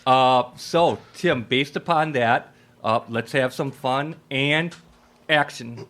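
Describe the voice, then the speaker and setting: A man's voice: medium pitch, takes it slowly, a bit bright. A man hosting a live radio play event in a casual, effusive, rambling way. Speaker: host and playwright.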